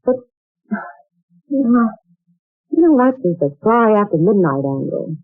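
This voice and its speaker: A woman's voice: medium pitch, sing-song, measured pace, no room sound. An actress playing a proper, hungry young woman looking for work, in a 1940s radio drama, on a tinny old broadcast recording. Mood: earnest. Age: young adult.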